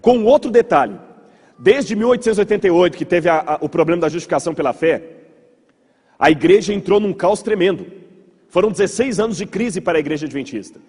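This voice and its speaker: Male Brazilian preacher-lecturer, higher-pitched and slightly husky, conversational storyteller addressing a congregation in a reverberant hall. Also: lively intonation, quick pace, a little mellow. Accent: Brazilian Portuguese. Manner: conversational, asks the audience rhetorical checks, repeats words for emphasis. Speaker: pastor giving a church history lecture. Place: large hall with audience.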